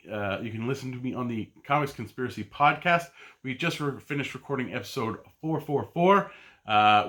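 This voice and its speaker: A man's voice: medium pitch, pushed and bright. A middle-aged man talking in a casual solo vlog, rambling with many ums, uhs and restarts. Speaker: podcast host and comic buyer.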